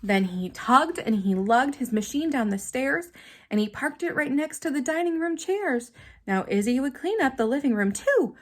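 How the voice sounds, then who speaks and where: A woman reading a children's story aloud in an expressive, dramatic manner, with simple asides for young listeners. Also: sits high, normal speed. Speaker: storybook reader.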